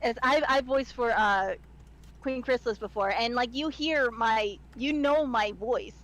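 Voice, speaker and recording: A woman with a nasal Midwestern American accent, chatting excitedly as a guest on a livestream and swearing freely. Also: on the high side, unhurried, low-quality recording. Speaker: guest voice actor. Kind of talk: casual livestream chat.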